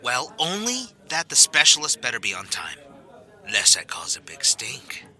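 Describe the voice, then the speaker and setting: Cocky, bright, flirtatious secret agent. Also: male voice, medium pitch, wildly swooping pitch, moderate pace, heavily acted. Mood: smug, haughty. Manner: smug, theatrical, teasing, sprinkles in Spanish. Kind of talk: audio drama acting.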